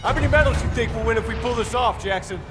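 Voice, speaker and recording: An actor playing an eager, team-minded American Navy pilot, calling out loudly in a war game. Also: male voice, very high voice, fast. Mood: excited. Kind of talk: cinematic war game acting. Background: loud music and vehicle.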